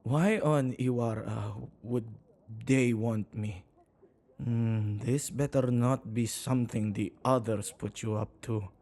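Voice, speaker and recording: A man playing a flustered, self-neglecting male witch in an ASMR audio roleplay, speaking intimately and haltingly to the listener. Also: mid-range voice, mellow. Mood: flustered, defensive. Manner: hesitant, halting, with sighs and murmured asides.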